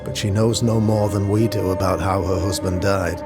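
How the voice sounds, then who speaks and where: Man playing a pompous, low-voiced colonial governor. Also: steady tone, lightly acted. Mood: grave. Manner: formal, measured, grandiloquent archaic phrasing. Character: pompous colonial town governor, older man, solemn and self-regarding. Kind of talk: dramatic video game acting. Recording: music under the voice.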